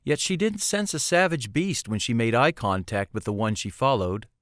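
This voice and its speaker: A man narrates a fantasy audiobook in a medium-pitched voice. The delivery is scripted storytelling, recorded close with no room sound. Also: very animated, moderate pace, fairly bright tone, no echo. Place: close, dry recording space.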